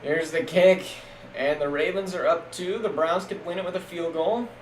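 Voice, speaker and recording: A young man streaming casual, jokey play-by-play of a simulated football league, getting loud on big plays. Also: a little high, moderate pace. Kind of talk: live-stream game commentary. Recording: echoey room with faint background noise.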